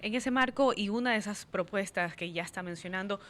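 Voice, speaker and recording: A woman's voice: medium pitch, a little fast, a bit bright, slight background noise. A woman co-hosting a radio interview, asking a formal question. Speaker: radio co-host.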